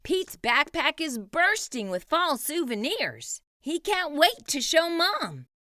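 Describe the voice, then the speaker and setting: Storytime reader, woman, bright and animated, fairly high. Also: slow pace. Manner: lively, expressive reading. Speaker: children's book reader. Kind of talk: read-aloud storytelling.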